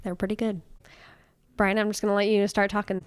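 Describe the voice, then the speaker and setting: A woman hosting a podcast from her home, chatty and upbeat, her voice a little raspy after a cold. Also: medium pitch, flat delivery, fairly quick, no echo. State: recovering from a cold. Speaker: podcast host. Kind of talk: casual podcast chat.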